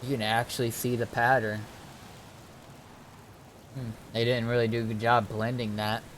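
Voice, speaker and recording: Halting man chatting over background noise. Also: medium pitch, little pitch movement, a bit bright, rough recording. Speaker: streamer playing an online roleplay game. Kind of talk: casual gaming chat.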